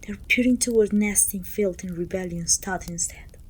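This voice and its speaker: A woman playing a pompous, self-pitying evil queen who talks down to her servant in an intimate, breathy ASMR roleplay, often dropping toward a whisper. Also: mid-range voice, normal speed, darkish tone, slight background noise, close to an everyday voice, low-quality recording. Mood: exasperated. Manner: pompous, petulant, theatrical sighing complaints.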